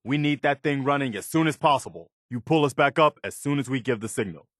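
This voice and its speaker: An actor playing an earnest, dutiful militia soldier in a post-apocalyptic video game, speaking in a plain, folksy way. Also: male voice, medium pitch, fairly quick, a bit bright, dry recording, clean studio sound, close to an everyday voice. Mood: urgent, concerned. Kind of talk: video game companion voice lines.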